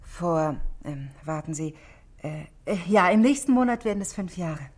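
An actress playing a self-possessed, guarded married shop manageress in a radio drama, speaking precisely and defensively. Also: female voice, fairly low pitch, expressive, moderate pace, a little mellow. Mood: composed, recollecting. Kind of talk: 1960s German radio drama acting.

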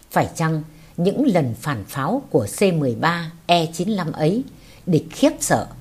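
A woman with a husky voice reading a war memoir as scripted audiobook narration. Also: deep voice, a little mellow.

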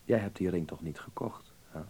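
An actor playing a gentle, easily flustered young man in a radio drama, halting and repeating himself. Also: deep voice, gravelly, husky, exaggerated voice. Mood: soft, hesitant. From Netherlands.

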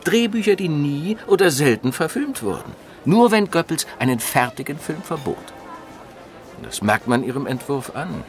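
An actor playing a domineering, capricious film producer in a radio drama, commanding, abrupt and theatrical. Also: male voice, on the high side, sing-song, moderate pace. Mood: dismissive. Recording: studio radio play over music.

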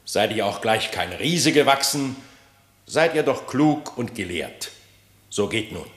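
A man's voice: medium pitch, animated, unhurried, lightly acted. A man playing a proud, indignant king of the animals in a radio play, declaiming verse solemnly and emphatically. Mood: commanding. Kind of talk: classic German radio play of a verse fable. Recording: roomy, echoing studio radio recording.

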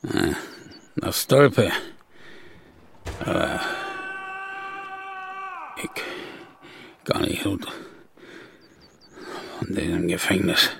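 An actor playing a stubborn, defiant farmer leader held as a prisoner, gruff and halting, in a radio drama. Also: male voice, sits low, lively intonation, background noise, exaggerated voice. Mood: worried.

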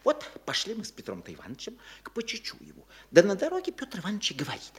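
An actor playing a fussy, chattering middle-aged provincial landowner who stammers and rambles breathlessly in a radio play. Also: male voice, high voice, wildly swooping pitch, fast, full character voice. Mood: eager. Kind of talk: stage radio play acting.

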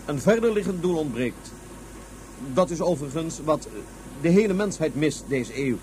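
An actor playing a courtly ruler of a small independent state on Mars, formal, halting and self-deprecating, in a science fiction radio drama. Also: male voice, a little high, expressive, a little mellow, a little hiss or hum, natural voice, low-quality recording. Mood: philosophical.

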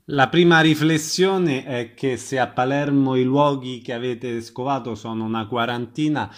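Mid-pitched man interviewing. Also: fairly even intonation, moderate pace. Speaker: radio interviewer. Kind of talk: interview questions.

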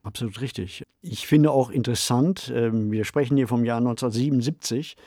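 Male co-host, mid-range voice, measured reflective discussion, dry studio sound. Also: mid-range voice, dark tone, no echo. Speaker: podcast co-host. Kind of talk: reflective discussion of a radio play.

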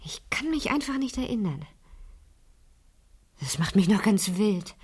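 A woman's voice: mid-range voice, sing-song, unhurried, darkish tone, husky, exaggerated voice. A woman playing an insistent, inquisitive fifteen-year-old girl in a German radio crime drama, with an intense, pressing delivery. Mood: troubled.

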